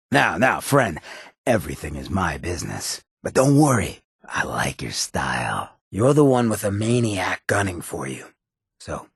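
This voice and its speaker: An actor voicing a sly, swaggering outlaw mayor in a video game, with a gravelly rasp and cocky, streetwise, slangy drawl. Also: male voice, sits low, fairly bright tone, no room sound, clean studio sound, exaggerated voice. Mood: sly, conspiratorial. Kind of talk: video game companion dialogue.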